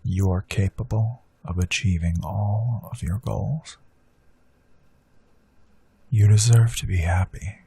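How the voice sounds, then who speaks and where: A man leading a guided hypnosis meditation, speaking slow soothing affirmations that drift into soft whispers. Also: lowish voice, vocal fry, slightly rough.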